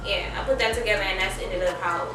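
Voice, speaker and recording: A woman's voice: fairly even intonation, fast talker. Girl with a baby voice talkin street slang, lotsa likes and you know what im sayin, roomy echo, far off mic, music under it. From Ohio. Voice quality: high, girlish baby voice. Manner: streetwise slang, frequent fillers, rambling. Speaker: rapper and radio personality. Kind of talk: casual interview chat.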